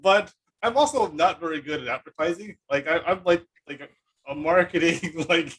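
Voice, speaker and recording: A man with a fairly high voice and a husky, rough edge hosts a casual podcast chat. He rambles and circles his thoughts haltingly, with a self-deprecating touch. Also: expressive, fairly bright tone, poor audio. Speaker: podcast host.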